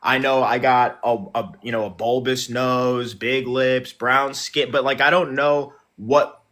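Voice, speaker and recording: A man's voice: medium pitch, steady tone, a little slow, a bit bright. A man who is a guest on a livestream debate, thinking aloud in a rambling, hesitant way full of fillers. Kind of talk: casual conversational debate.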